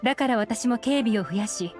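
A woman's voice: on the high side, animated, normal speed, lightly acted. A woman playing a self-assured, sly young intelligence agent in an anime game, composed, teasing and knowing. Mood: composed explaining. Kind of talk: Japanese anime game voice acting. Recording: music behind the voice.

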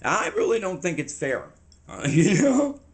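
Guy 40s, rambly and stop-start, kinda shaky, talking to a desk camera in a small room. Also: high-pitched. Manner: rambling, halting, animated hand gestures. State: shaky, a little unsteady. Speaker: vlogger. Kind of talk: casual storytelling to camera.

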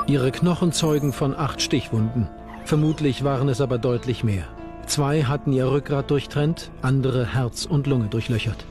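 A man narrates a documentary from a script at a medium pitch. Music plays behind his voice.

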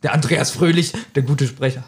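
A man's voice: medium pitch, a little fast, breathy edge. A man hosting a casual podcast, bantering and recapping an audio drama in a giggly way, often interrupting himself. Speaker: podcast host.

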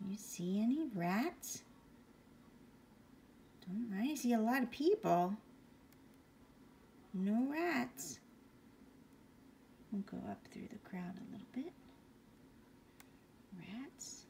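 A woman reads a bedtime picture book in a mellow, gentle voice that often drops toward a whisper. She takes her time and puts questions to a young listener. Faint room noise sits behind her. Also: fairly high pitch, very animated, takes it slowly, creaky, pauses often. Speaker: bedtime story reader. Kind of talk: reading a picture book aloud to young children.

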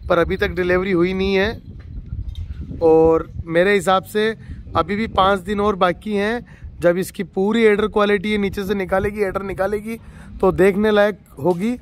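A man's voice: high voice, steady tone, a little slow, a little hiss or hum. A man from Rajasthan, a dairy farmer, shows a cow for sale in a casual spoken walkthrough, heaping on enthusiastic, repetitive praise from off camera in an outdoor farmyard. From Rajasthan, India. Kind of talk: casual spoken livestock showcase.

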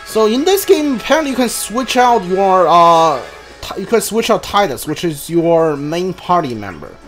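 Male game streamer, slightly high pitch, offhand and hesitant play-by-play over faint music. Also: sing-song, moderate pace, fairly bright tone. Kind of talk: casual gameplay commentary. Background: video game music.

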